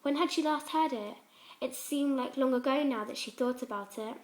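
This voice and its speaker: A woman's voice: high voice, normal speed. A woman reading fan fiction aloud as a dramatic scripted story narration.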